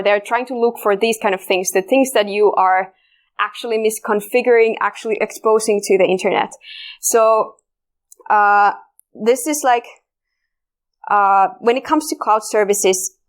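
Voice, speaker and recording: A woman with a Finnish accent presents at a security conference. Her pitch sits in the middle range for a woman, and she talks in a loose, conversational way, pausing on fillers and restarting her sentences. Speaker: conference speaker. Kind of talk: technical conference talk. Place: conference room.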